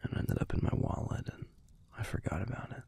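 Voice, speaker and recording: A man playing a tender, wistful werewolf boyfriend in an intimate audio roleplay, speaking in a hushed, halting, husky and breathy voice with a gravelly edge. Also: close to an everyday voice. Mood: wistful, subdued. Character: tender, wistful young male werewolf pack leader boyfriend.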